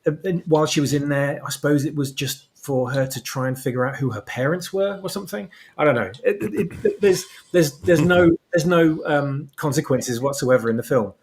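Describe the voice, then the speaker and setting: A man's voice: a little high, moderate pace, few pauses. A man talking as an interview guest and writer, explaining his ideas thoughtfully and searching for the right words. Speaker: guest, fan fiction writer.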